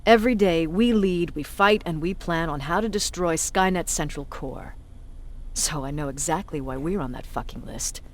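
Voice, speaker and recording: A woman's voice: low-pitched, moderate pace, natural voice. Tough, commanding female military leader played by an actress. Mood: serious, briefing. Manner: blunt, authoritative, sardonic. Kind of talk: video game voice acting.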